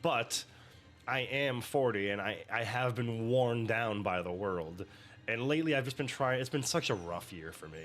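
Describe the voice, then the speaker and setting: A man in his forties giving casual, energetic commentary on a show and swearing freely. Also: mid-range voice, normal speed, faint music behind. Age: 40s. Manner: energetic, profane, laughs often. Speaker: reaction video host.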